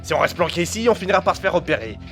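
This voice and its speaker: Defensive fugitive agent played by a man, irritable, creaky, over music, for radio drama. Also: a little high, very animated, racing, a bit bright, full character voice. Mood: resigned. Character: defensive, touchy male fugitive agent with memory lapses. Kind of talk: audio drama acting.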